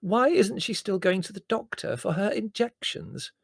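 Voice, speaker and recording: A man's voice: fairly high pitch, big pitch swings, moderate pace, vocal fry, breathy edge, no echo, studio quality, voice pushed up, heavily acted. A man voicing a bewildered, easily alarmed mother in a storybook, plaintive and questioning.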